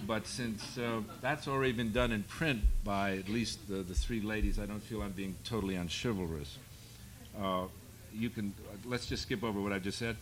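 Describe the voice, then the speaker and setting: A man's voice: on the low side, normal speed, a bit bright, slight background noise, poor audio. A man in his sixties moderating a live panel, introducing the speakers in a wry, self-deprecating, rambling way. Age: 60s. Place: public panel event before an audience.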